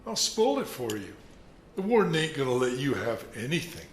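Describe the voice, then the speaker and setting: An actor playing a stern prison guard with a blunt, commanding manner. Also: male voice, medium pitch, very animated, normal speed, natural voice. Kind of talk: film drama acting. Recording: echoey, slightly noisy room.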